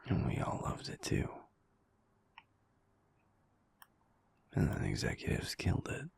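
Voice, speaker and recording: A man playing a sad, wistful young alpha werewolf in an intimate audio roleplay, talking haltingly in a low, rumbly half-whisper. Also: darkish tone, natural voice. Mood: bitter, regretful. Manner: halting, hesitant, trailing off.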